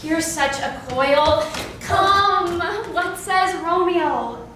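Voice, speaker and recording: A woman's voice: very high-pitched, very slow, sharp tone, full character voice. A woman playing an impatient, lovestruck young noblewoman in classical stage drama, theatrical and urgent. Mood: exasperated. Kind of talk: classical stage acting of Shakespeare. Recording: echoey room, distant mic, clear noise.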